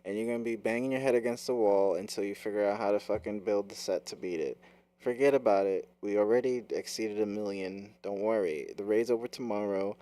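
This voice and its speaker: A man's voice: lowish voice, a little fast, mellow. A man streaming, chatting casually over a game, brash and profane, repeating himself as he talks. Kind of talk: casual gaming chat. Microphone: game controller mic.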